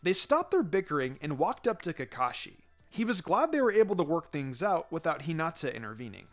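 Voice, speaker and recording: Animated, higher-pitched male story narrator. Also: brisk. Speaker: fan fiction reader. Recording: music behind the voice.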